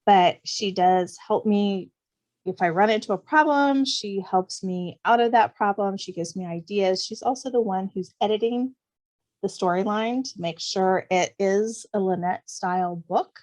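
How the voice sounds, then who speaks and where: A woman's voice: mid-range voice, measured pace, darkish tone. A woman speaking casually as a guest author in an interview.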